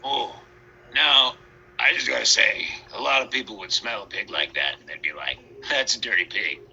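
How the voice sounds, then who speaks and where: A man playing a chatty wolf in a storytelling piece, confiding in a halting ramble. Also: lowish voice, vocal fry, bright, forceful tone, dull audio, slight background noise, full character voice. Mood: musing.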